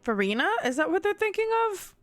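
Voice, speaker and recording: A woman's voice: very high voice, very animated, brisk, a bit bright, no room sound. A young woman chatting casually to viewers on a livestream while she plays, slangy and bubbly, drifting into rambling asides. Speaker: streamer playing a game live. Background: game music.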